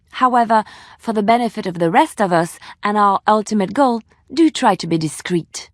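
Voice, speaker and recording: Actress for young female bard in a fantasy game: romantic, earnest, expressive, mid-range voice, very dry studio sound. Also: medium pitch, no echo, lightly acted. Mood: sarcastic, annoyed. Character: romantic, devout young woman bard, easily flustered. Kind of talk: video game character dialogue and storytelling.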